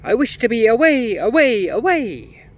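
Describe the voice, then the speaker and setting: A man doing a shrill sly old gnome. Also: huge pitch swings, normal speed, exaggerated voice. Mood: shouting.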